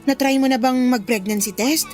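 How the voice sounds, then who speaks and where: A woman plays a no-nonsense older workmate in a radio drama. Her high voice is frank and chiding, like an elder sister who questions everything. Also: fairly quick, darkish tone, faint music behind, close to an everyday voice. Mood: scolding. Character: blunt, scolding older female coworker, a mother herself. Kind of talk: Tagalog radio drama acting.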